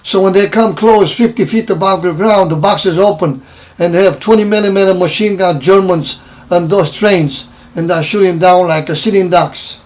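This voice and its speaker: A man in his seventies from Romania with a Romanian accent, recounting his wartime childhood in an interview in halting, repetitive English. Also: high voice. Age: 70s. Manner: halting, repeats phrases, broken English grammar. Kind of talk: personal wartime recollection interview.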